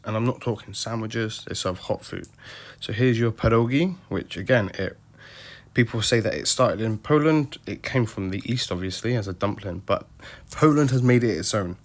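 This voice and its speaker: A young man from the UK talks through his travel vlog with a dry, self-mocking wit. His voice sits on the low side, and the delivery is relaxed. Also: moderate pace. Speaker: travel vlogger.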